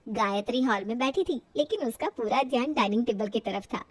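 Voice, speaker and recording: A woman's voice: a little high, a little fast. A woman narrating a scripted family moral tale as a storyteller.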